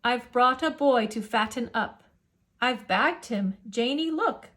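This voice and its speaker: A greedy giant from a storybook, acted out by a woman, gloats in slow rhyme. The voice is high for such a monster, impatient and hungry. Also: expressive, fairly bright tone. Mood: gloating. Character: greedy many-headed male giant, impatient to eat.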